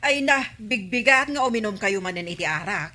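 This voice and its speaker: An actress with an Ilocano accent playing a reluctant village shopkeeper in a radio comedy drama. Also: female voice, mid-range voice, expressive, a little fast, pushed and bright.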